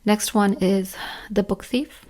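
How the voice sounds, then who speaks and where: A woman with a tired, hoarse voice talks casually and earnestly through a list of favorites, repeating words for emphasis. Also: medium pitch, steady tone, slow pace, a little mellow. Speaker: book reviewer, channel host. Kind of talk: casual list-style book and manga chat.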